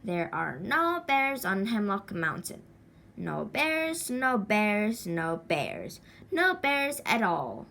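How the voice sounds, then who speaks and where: A woman's voice: medium pitch, big pitch swings, slow, vocal fry, pushed and bright, exaggerated voice. A woman voicing a small boy who chants rhythmically to himself to keep up his courage, in a storybook reading. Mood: brave, self-reassuring. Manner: rhythmic sing-song chant, marking time.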